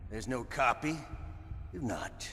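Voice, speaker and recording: A man's voice: mid-range voice, expressive, slow, sharp tone. An actor playing a sly, sadistic male interrogator in a video game, mocking and relishing his menace. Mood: mocking, probing. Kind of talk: dramatic video game voice acting. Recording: long hall-like reverb. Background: music.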